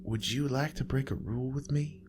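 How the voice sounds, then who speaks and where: A man doing a gentle, sad nurse woman. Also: mid-range voice, fairly even intonation, moderate pace, background noise, voice pushed up, lightly acted. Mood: confiding. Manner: soft, confiding, conspiratorial. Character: kind, sad middle-aged female nurse.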